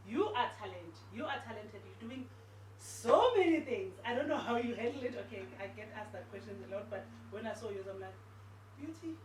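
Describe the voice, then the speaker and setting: A woman hosting a podcast interview, lively and enthusiastic, chiming in often. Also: a little high, a little fast. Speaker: podcast host. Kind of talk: casual interview chat. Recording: roomy, distant mic with background noise.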